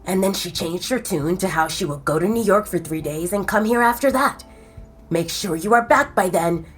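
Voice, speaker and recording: A woman's voice: on the low side, moderate pace, slightly rough, faint music behind. A woman voicing a stern, motherly middle-aged woman who nags and insists in an audiobook. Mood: insistent. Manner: nagging, insistent. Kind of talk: audiobook character reading.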